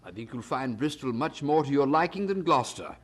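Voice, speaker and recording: An actor playing a hearty, blustering older brother in an 18th-century audio drama, with a husky voice and a condescending manner. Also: male voice, mid-range voice, expressive, fairly quick, close to an everyday voice. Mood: reassuring. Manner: chuckling, hearty, condescending, stammers when evasive.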